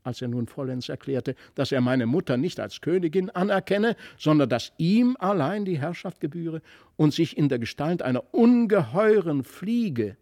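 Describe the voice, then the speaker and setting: A man with a standard German accent reads a literary fairy tale as an expressive, deliberate storyteller. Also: fairly high pitch, dry recording, clean studio sound. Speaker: audiobook reader.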